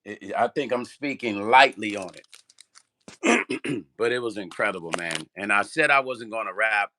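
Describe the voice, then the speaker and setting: A man with a strained, partly lost voice, chatting loosely on a livestream and greeting viewers by name, clearing his throat often. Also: on the low side, moderate pace, sharp tone, a little hiss or hum. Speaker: music vlogger and producer. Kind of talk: casual livestream chat.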